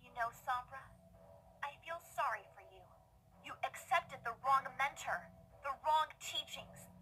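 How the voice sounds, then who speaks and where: Woman doing a loyal young cartoon pony, very sharp bright tone, unhurried delivery, resolute, faint music behind. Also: high voice, lively intonation, heavily acted, rough recording. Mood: defiant, pitying. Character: earnest young female pony student, loyal, defiant. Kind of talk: cartoon acting in a fan comic dub.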